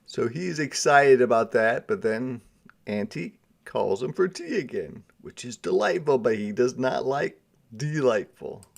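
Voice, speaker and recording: A man reads a children's storybook aloud in the style of a teacher. His voice has a noticeable creak and he takes his time. He delivers the story dramatically and explains it in plainer asides. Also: medium pitch, animated, a little slow.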